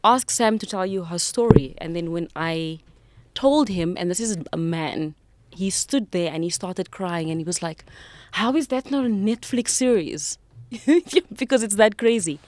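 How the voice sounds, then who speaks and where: South African woman, lowish dry voice. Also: a little slow, dry recording. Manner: animated storytelling, softening to quiet when serious. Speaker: podcast guest. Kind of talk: casual interview conversation. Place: podcast studio. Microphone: boom mic on desk.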